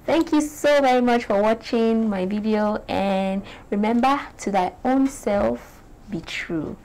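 A woman's voice: on the high side, unhurried, slight background noise, low-quality recording. Chatty young Nigerian woman vlogging. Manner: animated, chatty, gestures a lot. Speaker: beauty vlogger. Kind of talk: casual direct-to-camera vlog. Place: small bright indoor room.

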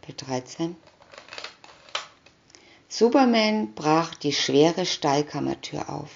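Woman reading a kids comic story, really slow, gravelly voice, kinda dull lo-fi sound. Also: low voice, expressive. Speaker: reader of picture-story booklets. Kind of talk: scripted narration of a children's comic story. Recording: dull lo-fi recording.

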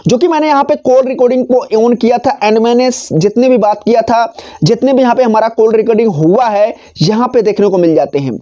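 Tech tutorial presenter: male, 20s, mellow tone, unscripted and repetitive step-by-step instruction. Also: extremely high pitch, brisk. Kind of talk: step-by-step phone tutorial, spoken off the cuff. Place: small decorated indoor room. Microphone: clip-on lapel mic.

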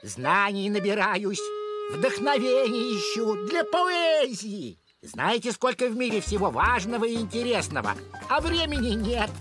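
A man's voice: sits high, very animated, unhurried, music in the background. A man voicing a dreamy, flustered lamb poet in a cartoon, with a plaintive, hesitant and flowery manner. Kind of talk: cartoon dialogue.

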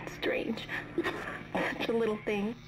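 An actress playing a distraught young woman leaving a video message in a game, breathy and whispery. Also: medium pitch, flat delivery, slow, lightly acted, low-quality recording. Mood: sad, despairing.

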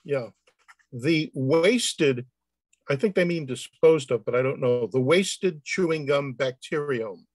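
A man's voice: mid-range voice, animated, takes it slowly, dry recording. A man reading out a research paper at an online event on a video call. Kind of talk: reading out a paper title with asides.

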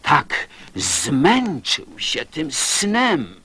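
A man's voice: on the high side, huge pitch swings, drawn-out words, pushed and bright, husky. A man giving a theatrical recitation of a poem for children. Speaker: poetry reciter.

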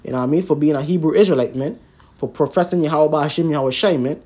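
Husky man teaching scripture informally. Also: a little high, fast talker, dark tone. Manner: emphatic, repeats tag words and poses rhetorical questions. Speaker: religious teacher.

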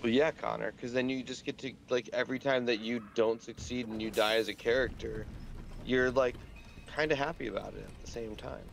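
A man's voice: mid-range voice, steady tone, fairly quick, vocal fry, a little hiss or hum. A young man chatting casually with friends, rambling and full of fillers. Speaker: friend on voice chat. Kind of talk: casual chat.

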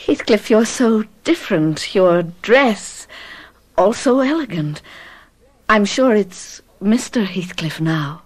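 An actress playing a passionate, wilful young gentlewoman in a radio drama. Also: medium pitch, lively intonation, slow pace. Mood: excited.

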